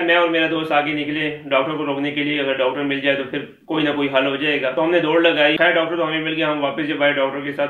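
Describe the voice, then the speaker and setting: A man in his thirties with a Pakistani accent, telling a story casually to the camera in a small room at home, gesturing as he talks. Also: a little high. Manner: chatty, animated with hand gestures. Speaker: vlogger. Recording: echoey room, dull lo-fi sound.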